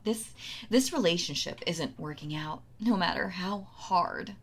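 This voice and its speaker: A possessive wife played by a woman in an intimate audio roleplay, speaking very slowly in a lowish voice. She coddles her captive with sweet menace and talks down to them as if they were helpless. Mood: wounded, cold. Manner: slow, coddling, condescending, with sighs and soft laughs.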